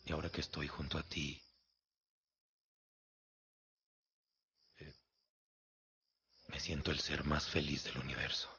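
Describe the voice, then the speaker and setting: An actor playing an ardent man madly in love, breathy and intimate, in a romantic radio drama. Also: a bass voice, flat delivery, moderate pace, pushed and bright, dry recording, lo-fi sound, heavily acted. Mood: tender, pleading.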